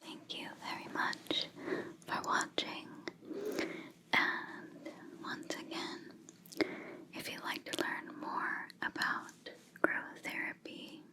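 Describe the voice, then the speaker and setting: A woman hosting an ASMR video, whispering a casual, soft sponsor message to viewers. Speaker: ASMR creator, host.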